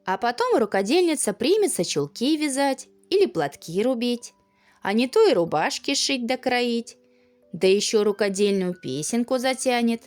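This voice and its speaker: Female storyteller for children's audio tales, expressive and folksy, lively intonation, soft music underneath. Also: on the high side, normal speed. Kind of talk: children's fairy-tale narration.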